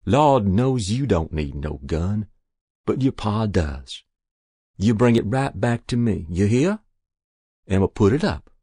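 A man's voice: on the low side, very animated, takes it slowly, mellow, breathy edge, no room sound, studio quality, exaggerated voice. A man voicing a stern, exasperated rural mother with a Southern US accent, blunt and dismissive in a story reading. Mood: firm, relenting. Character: stern, scolding rural mother, adult woman, exasperated but loving.

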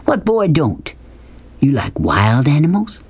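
An audiobook narrator voicing a large, loud Southern man, jovial and bossy, with a folksy, hollering manner. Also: mid-range voice, huge pitch swings, slightly rough, dry recording, pitched above the performer's own voice, heavily acted. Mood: sly, coaxing. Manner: hollering, folksy rural speech, rapid-fire questions. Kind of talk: audiobook character dialogue.

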